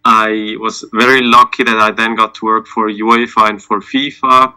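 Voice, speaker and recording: A man from Switzerland speaking as a panelist, giving an informal personal account in a halting, self-deprecating way. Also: on the low side, level tone, sharp tone, smooth, poor audio. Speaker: panelist, sports lawyer.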